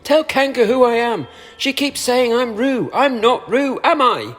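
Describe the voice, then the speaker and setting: A small, timid storybook piglet, acted out by a man, pipes up high and indignant. He protests loudly in a panicky, swinging voice with a long reverb around it. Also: animated, unhurried, exaggerated voice. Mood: pleading.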